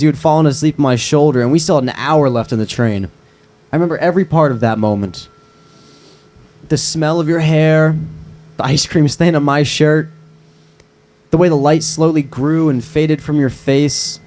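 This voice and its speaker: Earnest young guy, fairly high voice, acted by a man. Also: normal speed, a little mellow, lightly acted. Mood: tender. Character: earnest, teasing young male best friend, secretly smitten. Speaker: actor at a screenplay table read. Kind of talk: live screenplay table read.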